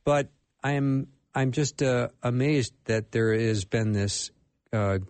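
A live radio host with a mid-pitched voice asks questions in a playful, openly emotional way. The sound is dry and close to the mic. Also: slow, darkish tone, dry recording.

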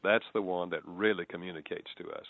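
A man from the American South talks as a radio guest, folksy and explanatory. His voice sits low, with a dry, phone-line sound that thins it out. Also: steady tone, brisk, no echo. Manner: folksy, explanatory, chuckles at own jokes. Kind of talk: radio interview.

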